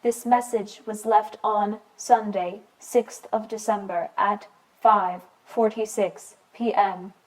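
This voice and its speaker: A woman playing an impersonal announcer, reading scripted lines in a measured, deadpan way. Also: medium pitch, lightly acted, rough recording. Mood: neutral. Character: flat, impersonal answering-machine announcer.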